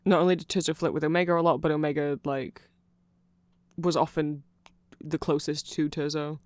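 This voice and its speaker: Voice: mid-range voice, little pitch movement, moderate pace, no echo. A person of unclear sex giving a rambling ASMR explanation of fan lore, often getting flustered, trailing off and correcting themselves with amused enthusiasm.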